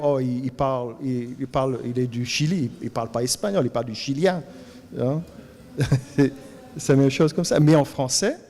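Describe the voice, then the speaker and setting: A man with a Cajun French accent from Louisiana gives an animated talk at a colloquium, often repeating words as he searches for the next one. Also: medium pitch, big-room sound. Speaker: colloquium speaker. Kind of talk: lively talk to an audience. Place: lecture hall.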